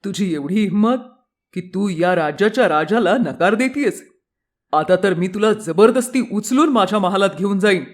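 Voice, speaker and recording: Guy doing a haughty king in a kids story, high voice for a man, bossy and theatrical. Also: lively intonation, normal speed. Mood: outraged, threatening. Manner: imperious, theatrical. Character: arrogant, lustful king out hunting. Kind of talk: storybook character acting.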